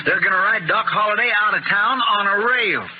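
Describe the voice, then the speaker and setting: A muffled vintage radio recording with some hiss, featuring a man playing an anxious frontier deputy. His voice is high and urgent, with a folksy, excitable western manner. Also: normal speed, natural voice. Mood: alarmed. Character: excitable, anxious Old West marshal's deputy. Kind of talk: 1950s radio western acting. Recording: muffled, noisy old radio broadcast.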